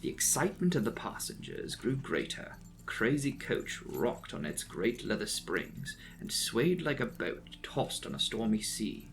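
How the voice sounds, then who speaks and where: A man's voice: fairly low pitch, sing-song, a little slow, vocal fry, few pauses, faint music behind. A man narrating a classic novel as a dramatic, scripted audiobook reading.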